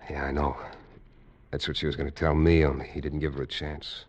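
An actor in a 1950s radio drama playing a shrewd adventurer with a dry, knowing manner. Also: male voice, a bass voice, level tone, a little fast. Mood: somber. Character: shrewd adventurer, cool and wry.

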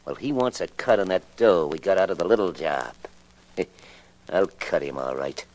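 An actor playing a surly, menacing small-time crook in a late 1940s radio drama, with gruff, impatient, slangy tough talk. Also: male voice, deep voice, expressive, normal speed, darkish tone, rough recording.

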